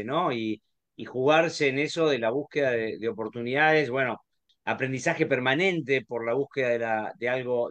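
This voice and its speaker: A man with an Argentine accent hosting an interview, reflecting in halting speech full of repeated words and tag questions. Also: medium pitch, a bit bright, dry recording. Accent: Argentine Spanish. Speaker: host.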